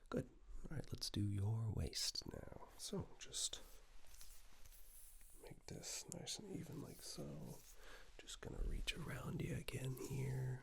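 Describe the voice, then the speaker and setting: A man doing a soft-spoken ASMR roleplay as a tailor, breathy and often whispered, slow and reassuring, close to a binaural microphone. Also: mid-range voice, big pitch swings. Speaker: ASMR performer playing a tailor taking measurements.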